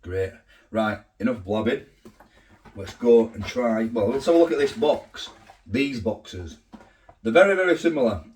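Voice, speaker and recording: A man with a hoarse, sore throat, chatting casually to camera from his home kitchen as a food vlogger, rambling with fillers and asides. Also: fairly low pitch, darkish tone.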